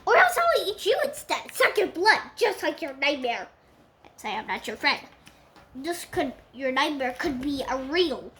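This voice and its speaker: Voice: high voice, sing-song, measured pace, bright, forceful tone, full character voice. A child voicing a bossy, teasing vampire bat in a homemade puppet show, with a sassy, taunting manner. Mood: menacing.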